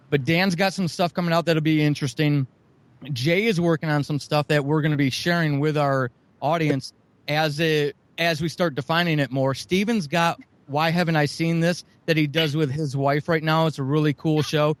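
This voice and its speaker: Male interview guest, slightly high register, dry sound. Earnest, emphatic, effusive promoter. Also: steady tone, moderate pace, no echo. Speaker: guest, audio drama producer. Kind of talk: enthusiastic interview talk, promoting shows.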